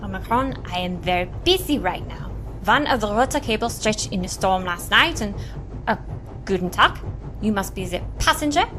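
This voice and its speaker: A woman's voice: mid-range voice, lively intonation, normal speed, noisy background, close to an everyday voice. An actress playing a busy, brusque woman engineer and inventor with a German accent and clipped delivery in an audio drama. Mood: busy, brisk.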